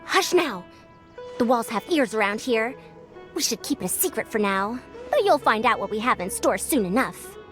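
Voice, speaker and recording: A commanding, conspiratorial lady scholar from a video game. Her voice is rather high and very animated, theatrical, with soft music behind. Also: moderate pace. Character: bossy, scheming female senior scholar, old-fashioned. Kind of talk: video game character acting.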